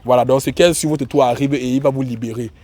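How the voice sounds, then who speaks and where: A man's voice: medium pitch, fairly even intonation, fast talker, a little mellow, no room sound. A man with a Cameroonian accent and a cold, hosting an online show in an informal, repetitive way as he addresses viewers and guests. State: has a cold. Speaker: YouTube show host.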